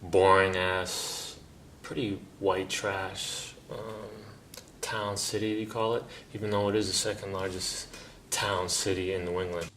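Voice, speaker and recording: A man's voice: sits low, level tone, measured pace, fairly bright tone, a little hiss or hum. A man from Massachusetts speaking bluntly and casually in a documentary interview, with some swearing. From Worcester, Massachusetts.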